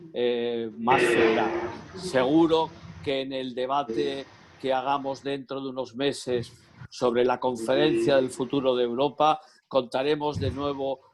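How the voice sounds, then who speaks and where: A man hosts a webinar over a video call, talking slowly and deliberately at a medium pitch. He pauses on fillers as he introduces speakers and lays out points. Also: a little hiss or hum, rough recording. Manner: measured, with frequent fillers. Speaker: webinar moderator. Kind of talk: moderating a webinar.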